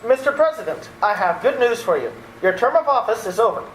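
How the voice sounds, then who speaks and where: A woman's voice: on the high side, very animated, a little fast. A woman playing a harried, placating family member in an amateur radio play. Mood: coaxing. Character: harried, placating relative humoring a deluded brother. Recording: echoey room with faint noise.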